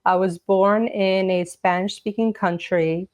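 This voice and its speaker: A woman's voice: medium pitch, fairly even intonation, measured pace. A woman guest whose first language is Portuguese, telling stories in a casual interview chat, full of "like" and "you know". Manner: chatty storyteller, lots of "like" and "you know". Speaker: podcast guest.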